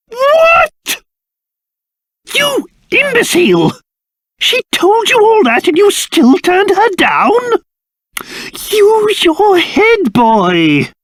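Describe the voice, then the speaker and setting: A man's voice: very high voice, no echo, studio quality, exaggerated voice. A man voicing a fussy, haughty old cursed king, a creature and doting father in a video game, with a pompous, theatrical, exclamatory manner. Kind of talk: video game character voice acting.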